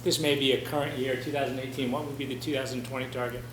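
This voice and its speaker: A man's voice: mid-range voice, level tone, fast. Probing man in an echoey meeting room. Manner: persistent, probing. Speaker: board member questioning a presenter. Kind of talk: formal questioning at a public meeting. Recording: echoey room with clear background hum.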